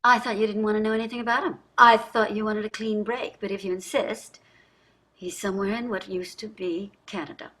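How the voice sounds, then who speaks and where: A woman's voice: mid-range voice, fairly even intonation, fairly quick, a bit bright, close to an everyday voice. An older woman playing a wealthy, weary and sardonic wife and mother in a staged play reading, pointed and dramatic in her delivery. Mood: defensive.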